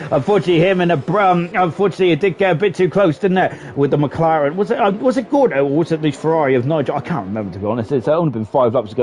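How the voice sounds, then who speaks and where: A man giving live sim racing commentary in a chatty, excitable way, often ending sentences with tag questions. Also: high-pitched. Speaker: esports race commentator.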